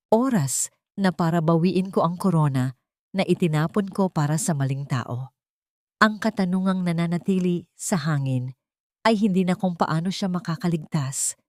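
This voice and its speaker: A woman reading a scripted, dramatic love story in a measured, solemn, melodramatic way. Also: fairly low pitch, a little mellow, no room sound, clean studio sound. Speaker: story narrator.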